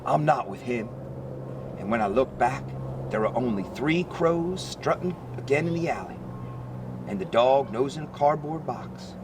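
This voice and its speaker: A man reading a children's picture book aloud as an expressive narrator. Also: mid-range voice, normal speed, noisy background. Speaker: story reader.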